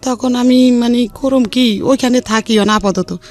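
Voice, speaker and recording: A middle-aged woman speaks in colloquial Bangladeshi speech, recounting her own life to an interviewer. She is plain-spoken and rambles from detail to detail. Soft music plays faintly behind her. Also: a little high, fairly even intonation, a little slow. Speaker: interview guest. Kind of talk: personal life-story account.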